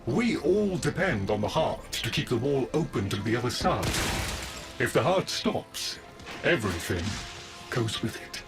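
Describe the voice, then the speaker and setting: A man's voice: mid-range voice, big pitch swings, unhurried, creaky, music in the background. A man voicing a courteous, earnest, fretful robot servant in a video game, speaking formally and precisely. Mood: grave.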